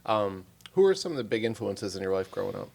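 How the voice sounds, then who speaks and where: A male podcast host with a low voice. He talks in a friendly way and fills his pauses with "uh" and "um". He interviews his guest and reads out the guest's introduction. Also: very animated, vocal fry, darkish tone. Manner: friendly, with frequent "uh" and "um" fillers.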